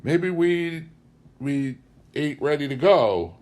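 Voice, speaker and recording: Man putting on a raised-pitch drunken leering lout. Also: animated, slow, a little mellow. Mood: belligerent, drunk. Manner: rude, slangy, menacing. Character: drunk, leering, insolent small male lout.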